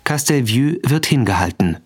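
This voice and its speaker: A man's voice: medium pitch, expressive, moderate pace, a little hiss or hum. Male scene announcer in a radio drama. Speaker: announcer of scenes, dates and places. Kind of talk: scripted radio drama narration.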